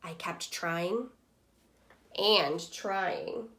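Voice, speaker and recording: Woman reading as Humpty Dumpty, a slow-spoken earnest egg. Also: fairly low pitch, heavily acted. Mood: hopeful. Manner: slow, expressive first-person storybook telling. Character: earnest, timid but persevering egg, Humpty Dumpty, narrating his story.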